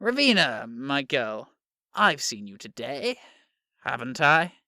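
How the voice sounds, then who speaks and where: An actor playing a smug, menacing Prime Minister in an audio drama, drawling and sarcastic, with theatrical politeness and long pauses. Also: male voice, medium pitch, very animated, bright, forceful tone, no room sound, clean studio sound, exaggerated voice. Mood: mock-polite menace.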